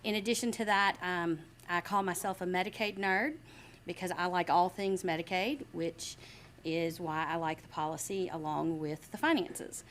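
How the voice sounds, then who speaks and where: A woman from Mississippi who runs a state Medicaid program introduces herself in a chatty, self-deprecating way and says her voice gets loud. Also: on the low side, normal speed, a little hiss or hum. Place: meeting room.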